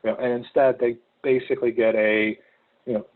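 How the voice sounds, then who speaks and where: A young man from Ohio giving a relaxed, conversational presentation about his volunteer work, with frequent ums. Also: mid-range voice, a little fast. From Ohio, United States. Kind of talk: information session talk. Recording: phone-like narrow sound.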